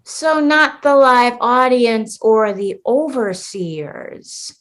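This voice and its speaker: A woman's voice: fairly high pitch, expressive, extremely slow, a bit bright, heavily acted. A woman acting in a comedic radio play as a disgruntled, petty schemer trapped in a holiday sitcom, theatrical and defiant. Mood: wary, curious.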